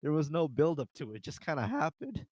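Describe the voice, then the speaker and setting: A man's voice: a little high, very animated, fast talker, darkish tone, no echo. A man co-hosting a podcast, chatting informally and giving opinions in slangy everyday talk. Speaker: podcast co-host. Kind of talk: casual group discussion.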